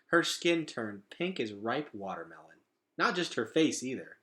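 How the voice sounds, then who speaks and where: A man reading a children's story aloud as a teacher, with expressive, dramatic emphasis. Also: mid-range voice, unhurried.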